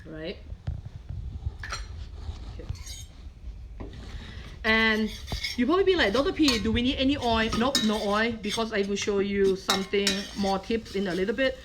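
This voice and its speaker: A woman's voice: fairly high pitch, unhurried, pauses often, background noise. A middle-aged woman from Thailand with a Thai accent, a culinary professor leading a live cooking demonstration and checking in with listeners often. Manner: patient, checks in with frequent "okay?". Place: kitchen.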